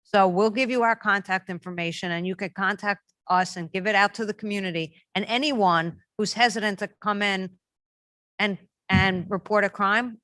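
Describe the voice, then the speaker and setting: A woman's voice: mid-range voice, fairly bright tone, no room sound. A woman official at a community forum answering directly and reassuringly.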